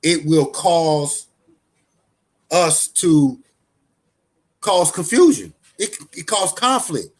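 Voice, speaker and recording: A man's voice: on the high side, lively intonation, drawn-out words, long pauses. A man giving loose, conversational commentary, full of restarts and fillers, as a show host. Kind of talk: casual spoken commentary.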